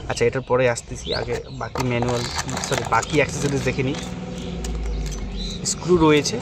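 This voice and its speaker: Fast-talking man, casual hands-on vlogger. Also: mid-range voice, steady tone. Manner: informal, improvised, talking while working with hands. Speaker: motorcycle vlogger presenting an unboxing and installation. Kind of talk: casual hands-on tutorial chat to viewers. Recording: clear background ambient noise. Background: background noise.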